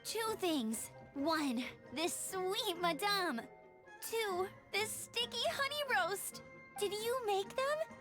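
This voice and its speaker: An eager teenage girl scout with a very high, lively voice. She is perky and playful, a game character speaking over music. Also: takes it slowly, full character voice. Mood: excited. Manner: energetic, exclaiming, playful. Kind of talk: video game voice acting. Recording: music playing behind.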